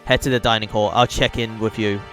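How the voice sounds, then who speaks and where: A man's voice: lowish voice, steady tone, brisk, fairly bright tone, faint music behind, natural voice. A man reading a cool, guarded girl detective. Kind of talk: reading a visual novel aloud.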